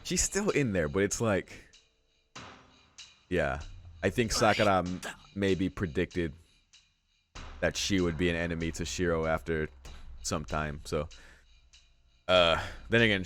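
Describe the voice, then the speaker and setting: Low-voiced man reading a visual novel. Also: normal speed, pauses often, fairly bright tone, slight background noise. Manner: casual, swearing asides between read lines. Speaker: let's play host, reader. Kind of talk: reading visual novel narration with casual reactions. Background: game music.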